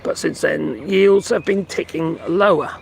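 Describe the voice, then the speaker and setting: Male streamer, voice fading and hoarse, halting news chat with plenty of uhs and restarts, slightly raised pitch. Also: a little hiss or hum. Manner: rambling, halting, many fillers and restarts; talks to his pets between remarks. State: losing his voice. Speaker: livestream host reading and commenting on news. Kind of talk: casual live commentary on news. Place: at home with animals nearby.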